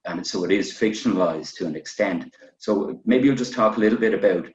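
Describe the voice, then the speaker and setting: A man with a deep voice moderates a book discussion. He talks informally and meanders towards his questions. He sounds distant from the microphone in an echoing space. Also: fairly quick, darkish tone. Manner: loose, meandering phrasing. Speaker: moderator of a literary panel. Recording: echoey, muffled, distant from mic.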